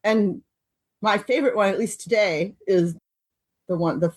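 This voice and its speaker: A woman co-hosting a recovery podcast, talking thoughtfully and reading short passages aloud with slow pauses. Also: medium pitch, darkish tone, dry recording. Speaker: podcast co-host. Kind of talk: reflective recovery group talk.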